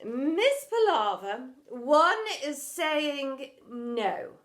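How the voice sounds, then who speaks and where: A woman's voice: high-pitched, big pitch swings, very slow, a bit bright, full character voice. A woman voicing an imperious, posh headmistress in a children's storybook, pompous, commanding and exasperated. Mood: weary, condescending. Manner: pompous, commanding, refers to herself as "one".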